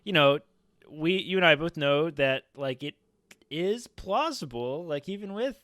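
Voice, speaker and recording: An American man chatting on a podcast roundtable, jokey and halting, slipping into acted-out quotes. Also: a little high, expressive, a bit bright, no echo, clean studio sound. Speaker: podcast panelist, medical resident. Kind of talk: casual roundtable chat. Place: home studio, remote video call. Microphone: close studio mic on boom arm.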